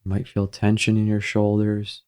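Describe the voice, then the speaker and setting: A man leading a guided meditation in a gentle, soothing, measured manner with pauses between phrases. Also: fairly low pitch, monotone, clear, resonant tone.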